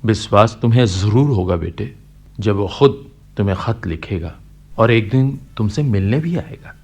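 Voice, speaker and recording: An actor playing a kindly, middle-aged father figure in a Hindi radio play, speaking in a gentle, coaxing, philosophical way. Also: fairly low pitch, lively intonation, moderate pace, slightly rough. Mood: earnest, reassuring.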